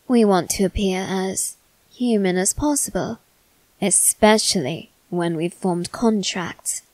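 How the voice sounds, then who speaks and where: A woman voicing a condescending immortal demon boy in an ASMR roleplay, speaking softly with a teasing, smug manner. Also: mid-range voice, dry recording, rough recording. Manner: soft-spoken, teasing and condescending.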